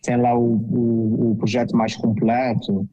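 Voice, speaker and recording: A man with a thick Azorean Portuguese accent talks as a guest in a casual interview. His voice is dark and mellow. He hesitates often, filling pauses and restarting his sentences. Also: mid-range voice, level tone, soft background music, poor audio. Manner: hesitant, with many fillers and restarts. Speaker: guest architect.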